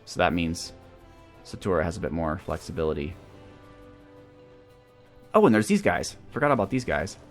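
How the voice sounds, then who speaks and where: A man's voice: low voice, very animated, moderate pace, pauses often, soft background music. A man giving casual gameplay commentary as a let's play host, thinking aloud through his moves.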